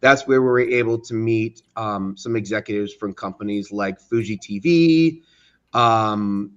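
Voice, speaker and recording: A man speaking as an interview guest, casually recounting things and often pausing to search for words. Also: fairly low pitch, a bit bright, clear, resonant tone, dull audio. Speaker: interview guest, studio co-founder.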